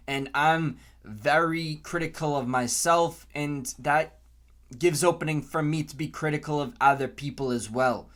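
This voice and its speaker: A man in his twenties with an American accent, giving an earnest, unscripted opinion monologue in a deliberate way, repeating phrases for emphasis. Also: a little high. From Canton, Massachusetts, US. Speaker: podcast host.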